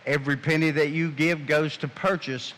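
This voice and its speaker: A man giving a guest address in a church, earnest and measured in a preacherly way. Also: a little high, steady tone, fairly bright tone, a little hiss or hum, low-quality recording. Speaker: guest speaker. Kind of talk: sermon-like appeal. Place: church sanctuary.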